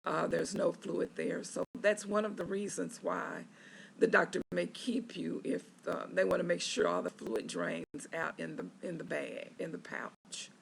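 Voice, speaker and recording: Slow, raspy-voiced hospital patient. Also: mid-range voice, expressive, slight background noise. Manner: slow and halting, many filler pauses. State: hoarse after neck surgery. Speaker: patient keeping a video diary. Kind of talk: casual video diary update. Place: hospital bed.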